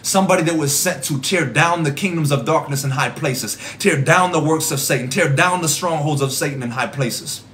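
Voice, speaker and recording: Hoarse young man praying fervently. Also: a little high, normal speed, few pauses, fairly bright tone, slight background noise. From Rockford, Illinois. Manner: fervent, rhythmic, repetitive prayer cadence. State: hoarse, losing his voice. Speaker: evangelist. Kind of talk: livestream prayer and preaching. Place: small indoor room at home. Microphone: phone camera at arm's length.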